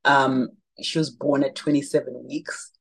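Husky low-voiced woman, animated. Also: measured pace. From Zimbabwe. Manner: animated storyteller, laughs often. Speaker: guest, makeup brand founder. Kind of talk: casual interview chat.

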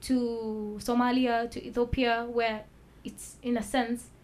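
A woman telling her own story as a talk-show guest, soft-spoken and measured, pausing with fillers. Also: on the high side, flat delivery. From Kenya. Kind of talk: personal testimony in a talk show.

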